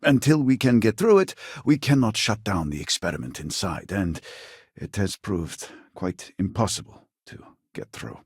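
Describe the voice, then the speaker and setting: Casting: devout, weary scientist for sci-fi game; lowish husky voice, formal and earnest delivery. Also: male voice, sing-song, moderate pace, no echo, clean studio sound, heavily acted. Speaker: video game voice actor. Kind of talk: scripted video game character dialogue.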